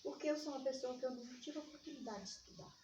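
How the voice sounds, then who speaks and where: An older woman with a Minas Gerais accent recounts her life in conversation, slipping into quoted dialogue with animation. Her voice sits a little high. The sound is dull and roomy, picked up at some distance from the microphone. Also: very fast. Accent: Brazilian Portuguese from Minas Gerais. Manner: animated, re-enacts remembered dialogue. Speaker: interviewee, community organizer. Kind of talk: personal storytelling in conversation. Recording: echoey room, distant mic, dull, faint noise.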